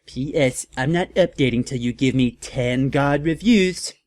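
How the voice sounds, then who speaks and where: A man's voice: mid-range voice, measured pace, darkish tone, natural voice. A man voicing a defensive, sulky teenage girl author with a bratty, petulant manner in a comic reading.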